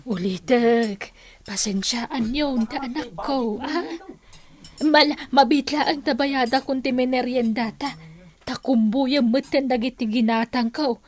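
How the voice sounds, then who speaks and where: Humble, high-voiced Ilocano mother. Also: unhurried. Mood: ashamed, apologetic. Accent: Filipino, Ilocano. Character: humble, apologetic older mother, worried village woman. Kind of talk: Ilocano radio drama acting.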